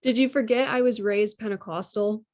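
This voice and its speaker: A woman's voice: a little high, normal speed, a little mellow, lightly acted. A woman reading a sassy, affectionate girlfriend in a naturalistic drama table read, playful and conversational. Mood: incredulous. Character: sassy, affectionate girlfriend meeting boyfriend's family.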